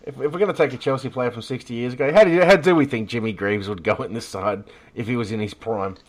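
A man's voice: medium pitch, fast. An Australian man hosting a casual football chat podcast, joking and swearing freely in a blunt way.

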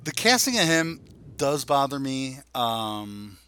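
A man in his forties with a bright, forward voice of average pitch. He is a podcast co-host in casual conversation, recounting things in colloquial, profane language as if among friends. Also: takes it slowly, smooth. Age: 40s. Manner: animated storytelling, profane, colloquial. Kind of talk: casual chat about comics and TV.

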